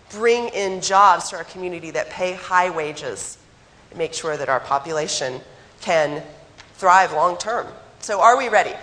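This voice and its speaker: A hoarse woman, still getting over a cold, presents to a conference crowd. Her voice sits on the lower side, and she keeps turning to the audience with direct questions. A long hall reverb trails every phrase. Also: fairly bright tone. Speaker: economic development consultant presenting.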